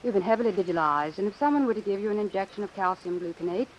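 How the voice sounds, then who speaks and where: A woman's voice: mid-range voice, racing, lightly acted, poor audio. An actress playing an embittered, ambitious woman doctor in an old-time radio suspense drama, speaking in a cool, clipped, sardonic way. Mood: coolly intrigued. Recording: hissy vintage radio recording with rain. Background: hiss and falling rain.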